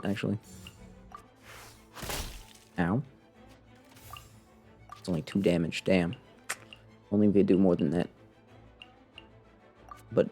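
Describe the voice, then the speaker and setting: A man with a fairly low voice commentates casually over his own game stream. He thinks out loud, swinging between muttering and exclaiming, often at his own expense. Game music plays faintly behind him. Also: creaky. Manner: thinks aloud, self-deprecating, mutters and exclaims. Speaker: video game livestreamer. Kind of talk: casual live gameplay commentary.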